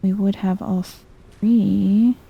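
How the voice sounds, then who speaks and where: A soft-spoken woman giving chill, hushed ASMR commentary while thinking aloud through a video game. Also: mid-range voice, steady tone, takes it slowly, creaky, breathy edge, narrow, tinny audio.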